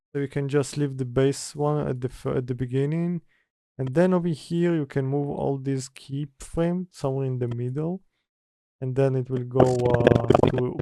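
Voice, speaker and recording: A man giving a casual software tutorial, hesitant and thinking aloud as he works. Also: mid-range voice, no echo. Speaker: tutorial presenter. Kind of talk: casual software tutorial, talking through steps.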